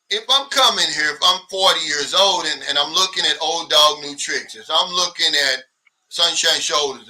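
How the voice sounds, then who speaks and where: A man's voice: fairly high pitch, bright, forceful tone, far from the mic, low-quality recording. A man in his sixties hosting a casual livestream, reading viewer comments aloud and answering them bluntly, sometimes mimicking others' complaints. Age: 60s. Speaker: livestream host.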